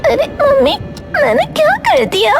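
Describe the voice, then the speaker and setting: A woman's voice: extremely high pitch, very animated, unhurried, gravelly, music in the background, pitched above the performer's own voice, exaggerated voice. A woman voicing an innocent, cheerful little girl in a cartoon story, childlike and sweet in manner. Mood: innocent questioning. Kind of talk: animated cartoon story dialogue.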